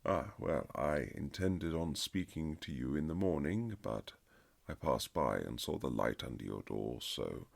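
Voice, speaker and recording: A man playing a reserved aristocratic husband in an intimate romantic period audio drama, speaking formally and haltingly with earnest tenderness in a gravelly, husky voice. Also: a bass voice, heavily acted. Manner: formal, old-fashioned, halting, earnest phrasing.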